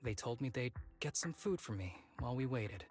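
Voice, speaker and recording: A man's voice: mid-range voice, lively intonation, fairly quick, a little mellow, lightly acted. A man voicing an easygoing young fighter with a hidden painful past in a video game, breezily downplaying serious things. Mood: quietly bitter. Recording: background music under the voice.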